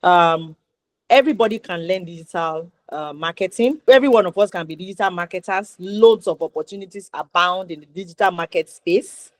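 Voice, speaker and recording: A woman's voice: lowish voice, a little fast, no echo. Nigerian woman, an emphatic interview host. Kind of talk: conversational interview with summarising advice.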